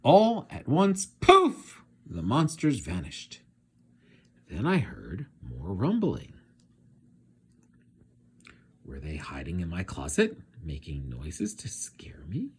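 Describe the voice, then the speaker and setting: A man reading a children's storybook aloud in a dramatic, expressive, suspenseful way. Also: deep voice, slow, slightly rough. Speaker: read-aloud teacher narrating.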